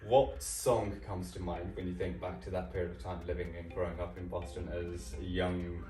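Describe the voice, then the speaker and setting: A man's voice: low-pitched, flat delivery, normal speed, warm tone. A man hosting a casual interview, upbeat and playful, with some filler ums. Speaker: host, co-founder. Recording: roomy sound from a distant mic with music.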